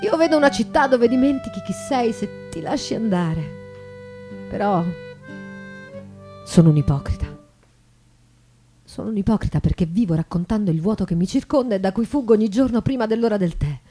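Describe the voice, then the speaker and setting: An actress performs an elegant, embittered bourgeois wife of the nineteenth century, a woman with an average-pitched voice and proud irony. She confides as if alone on stage, moving between hushed confession and grand declamation. Live guitar music plays behind her theatrical monologue. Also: lively intonation, normal speed, pauses often, natural voice. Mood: bitter, scornful.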